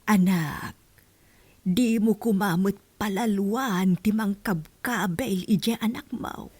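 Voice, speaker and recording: A woman playing a gentle grandmother in an audio drama, coaxing and softly admonishing. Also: medium pitch, takes it slowly, husky, no echo, soft background music. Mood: gently reproving. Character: gentle, concerned grandmother counselling her family. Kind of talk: melodramatic audio drama acting.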